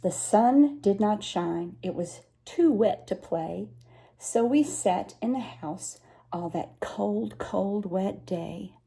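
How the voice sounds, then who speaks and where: Expressive older woman reading a storybook. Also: medium pitch, slow, vocal fry, husky. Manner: expressive, chatty asides to listeners. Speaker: grandmother reading aloud. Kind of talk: storybook read-aloud for young children.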